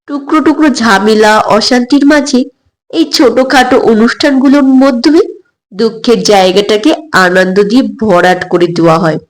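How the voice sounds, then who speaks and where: A woman's voice: fairly high pitch, normal speed, rough recording. Woman narrating an audio story. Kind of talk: expressive scripted first-person story narration.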